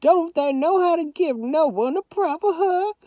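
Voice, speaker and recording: A woman voicing a loud, bossy elderly grandmother with a Southern accent. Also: very high voice, lively intonation, a little slow, no room sound, voice pushed up. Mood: doting. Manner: shouting, weepy, domineering.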